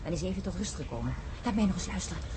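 An actress playing an intense, entranced female astronaut in a science-fiction radio drama, moving between hushed and insistent delivery. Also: on the low side, lively intonation, rapid-fire, a little hiss or hum, lightly acted. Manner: hushed, then urgent and insistent.

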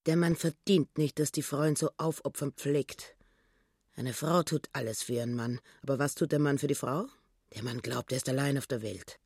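An actor playing a self-righteous, grasping wife in a radio play, talking in sententious platitudes. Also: male voice, medium pitch, normal speed, darkish tone, husky, no echo, clean studio sound. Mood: righteous, nagging. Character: self-righteous, grasping wife nagging about duty and money.

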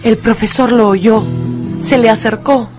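A woman narrating a dramatised radio story in an expressive, deliberate, emphatic way. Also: a little high, over music.

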